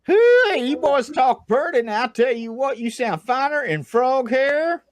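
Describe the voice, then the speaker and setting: A man playing a gruff old male backwoods yokel with folksy, drawling threats. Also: very high voice, sing-song, measured pace, dry recording, pitched above the performer's own voice, exaggerated voice.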